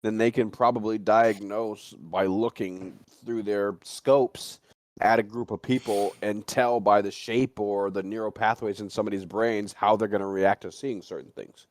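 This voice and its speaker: Casting: man with a low, dry-recorded voice, loose conversational rambler, circles back on his own phrases. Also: fairly even intonation, moderate pace, darkish tone, dry recording. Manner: rambling, repeats himself, casual swearing. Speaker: livestream talk participant. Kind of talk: casual chat, animated storytelling and speculation.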